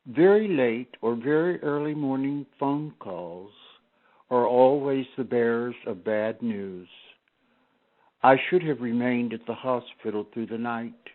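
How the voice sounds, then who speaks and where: A man reading his own poem in a measured, reflective way, on a tinny, narrow-sounding recording. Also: medium pitch. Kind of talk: scripted poetry recitation.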